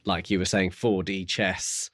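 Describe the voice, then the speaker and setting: A man's voice: low-pitched, flat delivery, measured pace, fairly bright tone, no room sound, studio quality. A man co-hosting a podcast, casually discussing a book and theorising aloud in a tentative, musing way. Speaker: podcast co-host.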